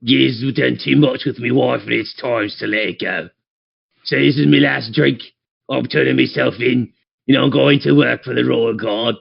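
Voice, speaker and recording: A man's voice: mid-range voice, normal speed, creaky, husky, highs cut off, pitched above the performer's own voice. A man voicing a weary, regretful fellow reading out his chummy farewell confession in a tabletop game. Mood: rueful, resigned. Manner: chummy, rueful, colloquial.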